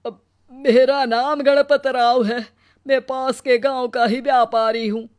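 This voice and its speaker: A woman's voice: sits high, level tone, slow, darkish tone. Woman acting courteous old male village trader. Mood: polite. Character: mysterious elderly male village fodder trader. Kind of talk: Hindi moral storybook acting.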